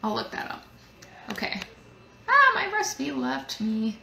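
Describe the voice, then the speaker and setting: A woman's voice: sits high, very animated, unhurried, vocal fry, bright, forceful tone. A young woman hosting a casual live cooking demo from a home kitchen, chatty and rambling with lots of fillers. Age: young adult. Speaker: museum cooking show host. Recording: echoey kitchen with background noise.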